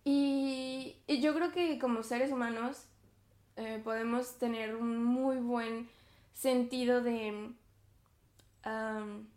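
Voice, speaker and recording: A woman's voice: fairly high pitch, darkish tone, echoey room. A woman, hosting a podcast in a chatty, reflective way, with lots of fillers and little laughs. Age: 20s. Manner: chatty, many fillers and self-conscious laughs. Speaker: podcast host. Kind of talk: reflective casual monologue.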